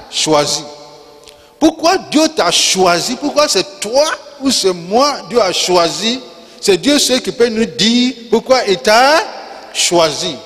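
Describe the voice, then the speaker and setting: Preaching man in a reverberant church. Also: high voice. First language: not French. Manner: call-and-response preaching, repeats key words, rises to shouts. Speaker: pastor. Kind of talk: sermon. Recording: long reverb of a church hall.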